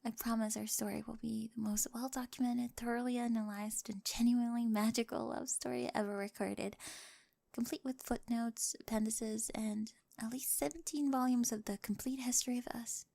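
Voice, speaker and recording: A woman's voice: fairly high pitch, normal speed, creaky. A woman playing a quirky, data-obsessed young bride in a scripted ASMR roleplay, speaking technical phrases with earnest, adoring precision. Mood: loving, earnest. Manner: precise, earnest recital of technical wording with giggly excitement.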